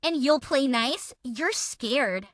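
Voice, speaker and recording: A woman's voice: sits high, lively intonation, slow, a bit bright, no room sound, clean studio sound, full character voice. A woman playing a belligerent, self-important woman in a feud, taunting and accusatory, as a theatrical dramatic reading. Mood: taunting, haughty. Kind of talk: dramatic reading of a text exchange.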